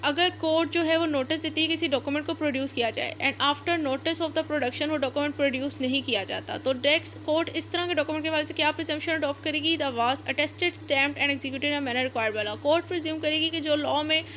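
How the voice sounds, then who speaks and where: A woman with a Pakistani accent speaks in a very high voice, lecturing like a law teacher. She reads out and then explains point by point, repeating herself and slipping in English terms. There is faint noise in the recording. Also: fairly even intonation, fairly quick, few pauses, a bit bright. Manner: repetitive step-by-step explaining, code-switching into English terms.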